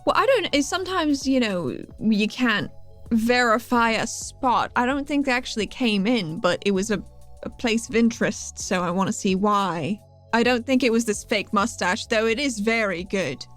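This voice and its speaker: Higher-voiced woman chatting in a tabletop game. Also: animated, moderate pace, fairly bright tone, soft background music. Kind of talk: casual in-character improvised chat.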